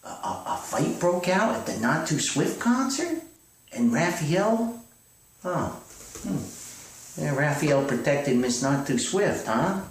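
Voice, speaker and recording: A man playing a hapless, excitable, self-styled famous author who stays stubbornly positive, stammering and rambling through a one-sided comedy phone call. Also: on the high side, slow, pitched above the performer's own voice, full character voice. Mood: puzzled, deflated. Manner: stammering, rambling, repeating himself. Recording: echoey distant old recording, faint noise.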